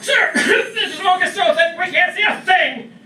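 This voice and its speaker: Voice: very high-pitched, expressive, measured pace, pushed and bright, exaggerated voice. An actor playing a cowardly, frantic spaceship first officer in a comic live radio play, stammering and urgent. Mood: frantic exasperation. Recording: distant mic in echoey room, faint noise.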